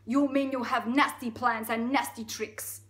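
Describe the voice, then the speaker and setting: A woman's voice: fairly high pitch, fairly even intonation, fairly bright tone. Woman doing an indignant Russian-accented storybook elephant. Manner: slow, emphatic, scolding. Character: big gruff male elephant, haughty and indignant.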